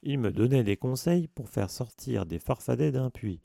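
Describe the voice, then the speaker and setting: Male narrator voicing a huge blunt giant, growling, rough-edged, dry close mic. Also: mid-range voice, expressive, moderate pace, warm tone, no room sound, voice pushed up. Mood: grumbling. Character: huge gruff man, gamekeeper, blunt.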